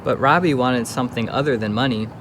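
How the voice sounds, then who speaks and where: A man's voice: mid-range voice, quick pace, background noise, close to an everyday voice. A man narrating a scripted children's puppet story.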